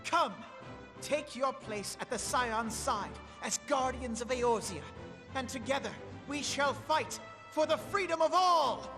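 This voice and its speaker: A high, youthful male voice an earnest aristocratic young commander in a fantasy game. He speaks in formal, oratorical phrases over loud orchestral music. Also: animated, measured pace, full character voice. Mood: rousing, resolute.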